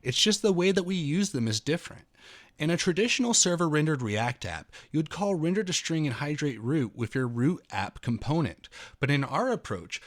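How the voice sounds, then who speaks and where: A man in his thirties reading a technical programming tutorial aloud in an expressive, slightly dramatic way. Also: on the high side. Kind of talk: read-aloud technical walkthrough with spoken asides.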